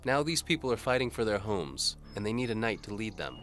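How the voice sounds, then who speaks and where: A man's voice: lowish voice, sing-song, a little fast, a bit bright, faint music behind, voice pushed up. A man voicing a fierce, defiant townswoman in an audio drama, with a snappish, challenging way of talking. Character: fierce, defiant townswoman urging on a reluctant knight.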